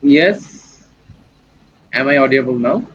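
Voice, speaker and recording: A man's voice: mid-range voice, animated, takes it slowly. A young man from Bangladesh, a university student, speaking hesitant English on a video call. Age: young adult. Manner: hesitant, non-native English with restarts. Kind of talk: casual video call chat. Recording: dull lo-fi call audio with faint noise.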